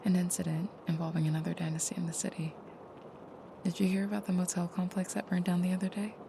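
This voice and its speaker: Woman acting a soft, creaky-voiced vampire girlfriend. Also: fairly low pitch, level tone, quick pace, background noise. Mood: hesitant, confiding. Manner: soft, hushed, hesitant, often dropping to a near whisper. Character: gentle, caring vampire girlfriend, soft-spoken and hesitant. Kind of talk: intimate audio roleplay, comforting the listener.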